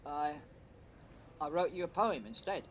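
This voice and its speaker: An actor playing a shy, apologetic young romantic in an audio play, with a hesitant, awkward way of talking. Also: male voice, fairly high pitch, lively intonation, unhurried, a little hiss or hum. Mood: bashful.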